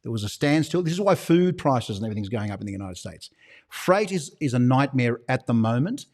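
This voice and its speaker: An Australian man hosting a loose livestream Q&A for his shop. His voice sits in an ordinary middle pitch, and he wanders from point to point with conspiratorial little jokes. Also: big pitch swings, a little fast, darkish tone. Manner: chatty, rambling, with conspiratorial joking asides. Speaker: shop owner hosting a live Q&A. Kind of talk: casual livestream Q&A.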